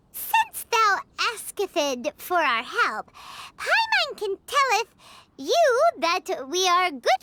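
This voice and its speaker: A woman voicing a tiny, excitable, childlike fairy sidekick in a video game, whiny and dramatic, talking about herself in third person. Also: very high voice, a little hiss or hum, exaggerated voice. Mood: playful. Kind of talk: video game cutscene acting.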